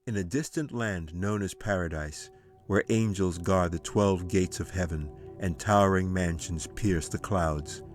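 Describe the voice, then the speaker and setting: A man reading his fantasy audiobook as a storyteller, in a measured, solemn and theatrical manner. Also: low-pitched. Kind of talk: dramatic fantasy storytelling, scripted narration.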